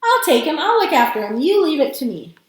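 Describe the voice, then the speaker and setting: Woman as elderly storybook grandpa, exuberant, bossy, exclamatory, high swooping pitch, heavy room echo. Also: big pitch swings, a little fast. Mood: eager insistence. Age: older. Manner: loud, exclamatory, urgent. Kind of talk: storybook character reading. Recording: strongly echoing room.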